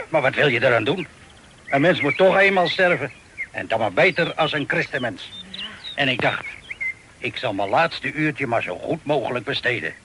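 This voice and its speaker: A man's voice: medium pitch, expressive, noisy background, natural voice. An actor in a Dutch radio drama playing a captive sea captain, a pious, wry and stubborn family man who talks in a folksy way. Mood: wry, reflective. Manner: folksy, wry, unhurried storytelling.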